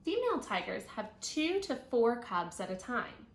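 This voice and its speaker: High-voiced woman teacher reading aloud, echoey room. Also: sing-song, moderate pace. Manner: instructional, thinking aloud, prompting young listeners with questions. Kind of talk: read-aloud of a children's nonfiction book with teaching asides. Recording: roomy echo, audible background noise.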